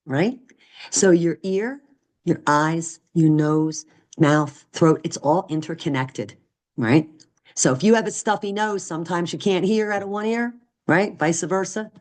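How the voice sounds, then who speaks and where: A low-pitched woman lectures on nursing topics in a relaxed, conversational way. She keeps checking in with her listeners, tossing in "right?" and "okay?" as she goes. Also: a little slow. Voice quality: deep voice. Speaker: nursing instructor. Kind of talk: recorded lecture.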